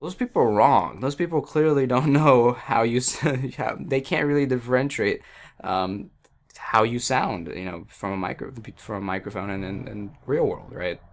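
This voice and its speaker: A man's voice: medium pitch, lively intonation, moderate pace. A man at his computer, speaking into a headset and explaining audio settings in a rambling, hesitant way full of fillers. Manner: hesitant, many fillers and restarts, self-deprecating. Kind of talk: casual rambling tech explanation. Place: at a computer by a wall indoors.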